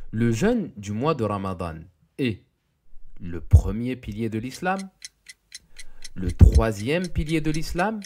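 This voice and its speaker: A man narrating a scripted educational quiz, reading questions and answers in a deliberate, didactic way. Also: mid-range voice, background noise.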